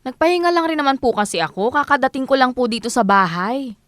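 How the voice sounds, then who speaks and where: Plaintive young Filipino daughter, dry sound. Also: female voice, sits high, animated, fast, fairly bright tone, no echo. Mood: hurt, pleading. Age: young adult. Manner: plaintive, respectful. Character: put-upon, dutiful adult daughter defending herself to mother. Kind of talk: Filipino audio drama acting.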